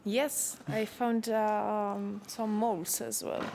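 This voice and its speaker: A woman, a visiting artist, talking slowly and playfully. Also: medium pitch, little pitch movement, gravelly, heavy background noise. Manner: slow, playful, amused.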